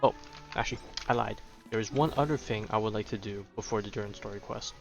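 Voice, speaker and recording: Male game streamer, lowish voice, casual chatty commentary, slightly dull lo-fi audio, music behind. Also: fast talker, vocal fry.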